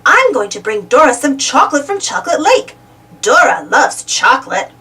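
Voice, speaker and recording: A woman voicing an excited, friendly young male monkey in a children's storybook, eager and exclamatory. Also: sits high, moderate pace, distant mic, exaggerated voice. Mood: excited, fond. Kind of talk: children's storybook read-aloud.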